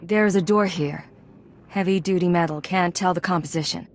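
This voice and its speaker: A woman playing a stern, composed secret agent in a video game, speaking in terse, measured commands. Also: on the low side, a little hiss or hum, lightly acted. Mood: businesslike.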